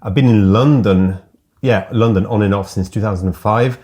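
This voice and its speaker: Low-voiced calm man, raised in France. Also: normal speed. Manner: calm, thoughtful, slightly hesitant. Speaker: guest. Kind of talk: casual interview chat. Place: small home room.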